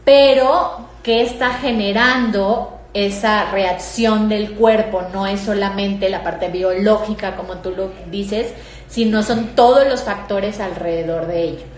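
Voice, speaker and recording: A woman's voice: medium pitch, unhurried. A woman with a Mexican Spanish accent hosting an interview, engaged and questioning, often saying "o sea". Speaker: host. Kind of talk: interview conversation. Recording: echoey room with faint ambience.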